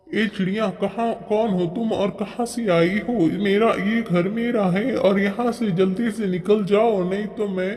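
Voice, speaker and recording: A man's voice: high voice, steady tone, measured pace, darkish tone. A man voicing a tired old male eagle in a cartoon, grumbling and commanding. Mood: angry. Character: tired old male eagle, gruff yet kindly, demanding. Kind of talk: Hindi moral-story cartoon voice acting. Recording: long hall reverb.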